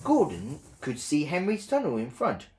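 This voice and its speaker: A woman's voice: low-pitched, huge pitch swings, normal speed, warm tone. A woman reading a children's story aloud as a scripted narration.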